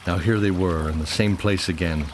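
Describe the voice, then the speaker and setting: A man's voice: low-pitched, fairly even intonation, normal speed, slight background noise. A man narrating a scripted fantasy audio drama.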